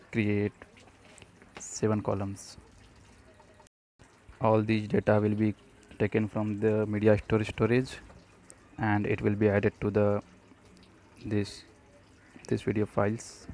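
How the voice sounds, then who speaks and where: A man presenting a step-by-step programming tutorial, speaking haltingly in short fragments. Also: lowish voice, a little mellow, slight background noise. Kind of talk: step-by-step screencast tutorial narration.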